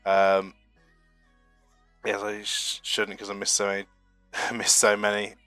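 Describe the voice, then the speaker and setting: A man's voice: lowish voice, completely flat delivery, measured pace, pushed and bright, clear, resonant tone, no echo, soft background music. Sniffly British man chatting on stream. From United Kingdom. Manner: chatty and rambling, self-deprecating, with frequent fillers. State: getting over a flu, a bit sniffly. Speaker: streamer hosting a marble racing channel. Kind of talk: casual live-stream chat reading chat messages.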